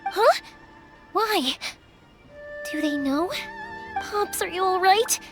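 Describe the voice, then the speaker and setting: A woman's voice: extremely high pitch, expressive, slow, music in the background, heavily acted. A woman playing an earnest, friendly young fireworks maker in a video game. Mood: worried, urgent. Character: cheerful, caring young woman who makes fireworks. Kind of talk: animated video game voice acting.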